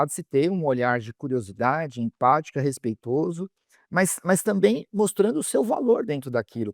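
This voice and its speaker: A man from Brazil hosts a conversation. His voice sits slightly high and he thinks aloud, with "eh" fillers and restarts as he builds long questions. The recording is close and dry. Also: no room sound. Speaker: interviewer host. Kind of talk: casual interview conversation.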